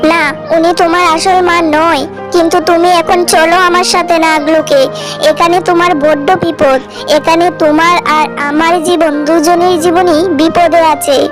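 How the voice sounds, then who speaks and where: Woman playing serpent mother, high female voice, urgent, protective, insistent, cartoon fairy tale, background music. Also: flat delivery, normal speed.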